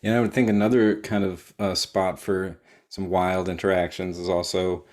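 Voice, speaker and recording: A man with a very deep voice hosts an interview in an easygoing way. He keeps his guest going with short nods of agreement and loose, thinking-aloud questions. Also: moderate pace. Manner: relaxed, encouraging, frequent short acknowledgements. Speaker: podcast host interviewer. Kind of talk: casual interview talk.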